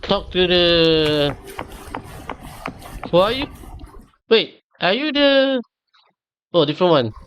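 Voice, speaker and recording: A man livestreams a game with very slow, sparse commentary. His pitch moves around a lot as he murmurs short reactions to himself, over faint noise and game ambience. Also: big pitch swings, fairly bright tone, rough recording. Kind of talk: casual gameplay commentary.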